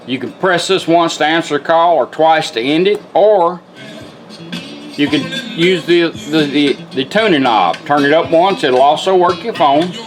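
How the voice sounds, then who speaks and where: Folksy guy kinda high voice showing how a gadget works, guitar music playing behind him. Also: unhurried. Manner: folksy, plainspoken. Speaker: car radio restorer demonstrating a product. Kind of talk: casual hands-on product demonstration.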